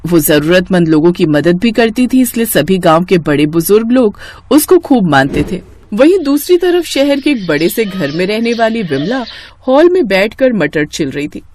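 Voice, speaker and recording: A woman's voice: mid-range voice, big pitch swings, normal speed, a little mellow. A woman reading scripted narration for a comic moral tale, as a storyteller.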